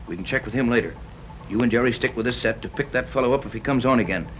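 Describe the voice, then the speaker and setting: An actor playing a brisk, commanding, skeptical sea captain in a 1930s radio serial. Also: male voice, mid-range voice, slight background noise, lightly acted. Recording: dull old radio recording.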